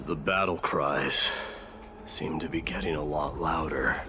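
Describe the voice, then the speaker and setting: Exiled warrior prince for a fantasy game: grim, formal, defiant, measured slow delivery. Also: male voice, a bass voice, noisy background. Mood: wary, grave. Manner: brooding, stern, formal. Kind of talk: dramatic video game voice acting.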